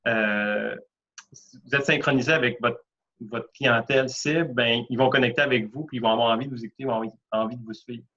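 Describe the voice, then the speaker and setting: A man's voice: mid-range voice, steady tone, brisk, pushed and bright. A man with a Québécois French accent, hosting a casual interview, agreeing with his guest and summarizing her points with some hesitation. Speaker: host. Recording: dull lo-fi audio.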